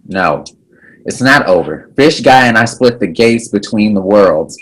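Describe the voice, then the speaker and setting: A man's voice: lowish voice, lively intonation, a little slow, rough recording. An actor at a table read playing an anxious, sardonic teenage boy sorcerer. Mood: worried.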